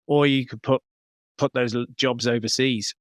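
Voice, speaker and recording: A man speaks as an interview guest in a coaching role. His pitch is average for a man, and he explains things conversationally, step by step. The sound is dry, with very little room. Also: fairly even intonation, moderate pace, no echo. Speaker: business coach, podcast guest.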